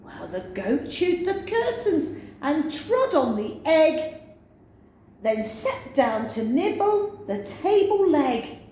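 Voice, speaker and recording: A woman reading a children's picture book aloud as a storyteller, with an expressive sing-song delivery. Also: sits high, takes it slowly. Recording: very echoey room, faint noise.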